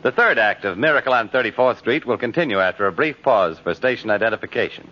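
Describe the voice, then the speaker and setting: A man's voice: lowish voice, expressive, quick pace. A man announcing and narrating from a script in polished 1950s radio style. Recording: muffled old radio recording with music.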